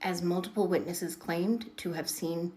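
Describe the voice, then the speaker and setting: A woman's voice: on the low side, fairly even intonation, a little slow, a crowd in the background. A woman giving dramatic storytelling commentary, emphatic and repeating words for effect. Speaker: online video commentator.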